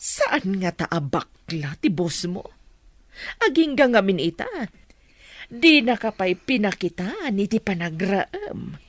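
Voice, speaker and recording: A woman's voice: mid-range voice, big pitch swings, takes it slowly, no room sound. A woman playing a scheming, pushy mother in a radio drama, speaking with an Ilocano accent. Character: scheming, pushy mother coaching her grown daughter.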